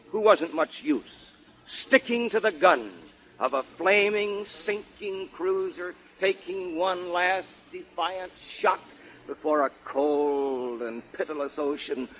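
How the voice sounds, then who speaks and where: Male American radio orator, 1940s: grave, fervent, theatrical recitation, mid-pitched, dull vintage broadcast recording. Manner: solemn, fervent, dramatic oratory. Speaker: guest orator on a radio show.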